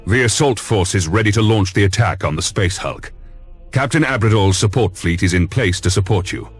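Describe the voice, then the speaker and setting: A commanding space navy admiral from a video game. His voice is deep and husky. He briefs formally and sternly over soft music. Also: lively intonation, moderate pace. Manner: formal, authoritative military briefing. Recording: music underneath.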